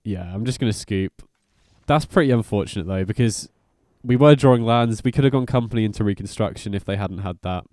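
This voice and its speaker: A man's voice: fairly low pitch, brisk, a little mellow, dry recording, studio quality. A man giving informal, slangy commentary as host of a card game guide. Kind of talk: casual gameplay commentary.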